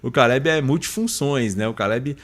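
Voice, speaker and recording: A man's voice: medium pitch, normal speed. Brazilian man hosting a casual podcast. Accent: Brazilian Portuguese. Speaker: podcast host. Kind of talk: casual live podcast chat, reading out chat greetings.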